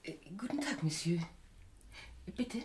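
A woman's voice: a little high, animated, unhurried, heavy background noise. A woman playing a lawyer's wry, formally polite receptionist in a 1980s radio comedy.

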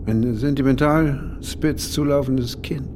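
An actor playing an enigmatic, insistent man in an audio drama, speaking deliberately with formal politeness and calm authority. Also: mid-range voice, noisy background, close to an everyday voice. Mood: musing, cryptic. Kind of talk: German audio drama acting.

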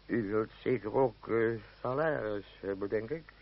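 An actor playing a pompous, middle-aged leader of a travelling theatre troupe in a radio play, stammering and humming in a self-important, fussy way. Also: male voice, on the low side, takes it slowly, gravelly, a little hiss or hum. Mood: haggling. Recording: dull old radio drama recording.

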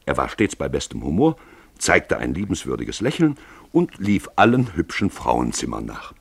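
A man's voice: rumbling low, very animated, moderate pace, husky, dry recording. A man giving theatrical, wry literary narration as the storyteller of a radio play.

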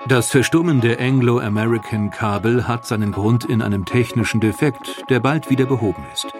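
A man narrating a historical audio documentary from a script, in a measured, articulate way. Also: mid-range voice.

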